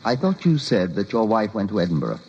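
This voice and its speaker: Low, officious policeman, muffled recording. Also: wildly swooping pitch, brisk, creaky, a little mellow, heavily acted. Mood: dry, probing. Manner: clipped, formal, condescending. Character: unflappable, officious British police officer, dryly firm. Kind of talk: old-time radio drama acting. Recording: muffled, faintly noisy old radio recording.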